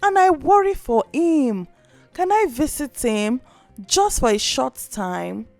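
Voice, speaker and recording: A woman's voice: high voice, big pitch swings, slow, vocal fry, darkish tone, dry recording, faint music behind, full character voice. A woman voicing a tender, anxious young heroine in a folktale, earnest and emotional. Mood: wistful, pleading.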